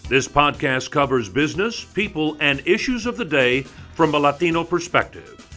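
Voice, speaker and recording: A man's voice: on the high side, normal speed. A man reading a polished, scripted podcast intro as an announcer. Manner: polished, lively announcer delivery. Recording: announcer over music.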